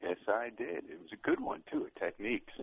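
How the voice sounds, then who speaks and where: A man calling into a radio show by phone, with a gravelly, husky voice and a halting way of talking that trails off mid-sentence. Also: medium pitch, wildly swooping pitch. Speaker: radio caller. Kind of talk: casual phone-in chat. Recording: tinny phone line, dry.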